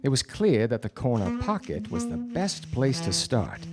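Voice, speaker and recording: An actor playing a self-important 1940s detective, narrating in deadpan, theatrical radio noir style. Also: male voice, medium pitch, normal speed. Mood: wry narration. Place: theatre stage before studio audience. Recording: live radio play, music behind.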